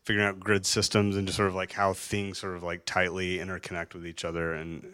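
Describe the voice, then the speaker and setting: Deep-voiced designer guy, rambly, lots of likes and sort ofs. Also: completely flat delivery, fast, sharp tone, clear, resonant tone. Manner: rambling, many fillers and restarts. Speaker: artist and designer guest. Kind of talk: live on-stage conversation.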